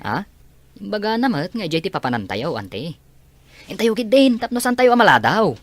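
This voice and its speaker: A man's voice: high voice, very animated, normal speed, no echo. An actor playing a casual, talkative young male family friend in a Philippine radio drama. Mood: questioning, urging.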